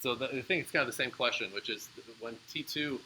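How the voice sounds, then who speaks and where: A man's voice: mid-range voice, quick pace, sharp tone, a little hiss or hum, rough recording. A man giving a live university lecture, explaining in a casual way with frequent fillers and answering student questions. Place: lecture room.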